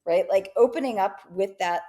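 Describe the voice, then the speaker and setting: A woman's voice: medium pitch, normal speed, dark tone. A woman co-hosting a business podcast, talking in an upbeat casual way and often checking in with "right?". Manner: upbeat, tags many points with "right?". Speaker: podcast co-host.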